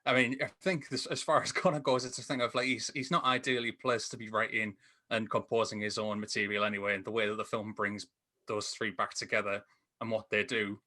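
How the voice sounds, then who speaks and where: A man's voice: on the low side, little pitch movement, a little fast, pushed and bright. A man chatting enthusiastically and at rambling length as a reviewer on a podcast recorded over a call. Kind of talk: casual film discussion.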